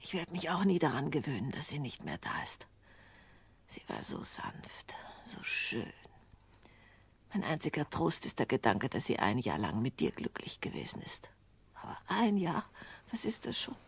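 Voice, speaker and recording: An actress playing an ailing, sweetly domineering old mother in a German radio drama, with plaintive, theatrical, drawn-out phrasing. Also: sits low, huge pitch swings, slightly rough, no echo, in a whisper, exaggerated voice. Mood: wistful grief. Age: older.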